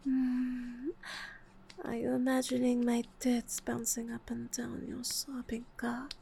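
Slow, husky whispering woman. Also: fairly high pitch, slight background noise. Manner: breathy teasing whisper with soft laughs; sprinkles in French endearments. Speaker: audio roleplay performer. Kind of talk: intimate whispered roleplay.

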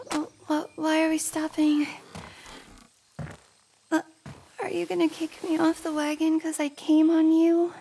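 A woman's voice: very high-pitched, slow, gravelly, pauses often, dark tone, a little hiss or hum, exaggerated voice. A woman voicing a shy, flustered young female elf in an audio roleplay, talking nervously with stammers, apologies, whispers and giggles. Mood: embarrassed.

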